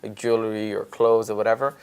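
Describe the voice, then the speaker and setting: A man's voice: on the low side, completely flat delivery, fast talker, clear, resonant tone. A man hosting a podcast in casual conversation, speaking informally and confessionally with bits of slang. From Chennai, India, later Canada. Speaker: podcast host.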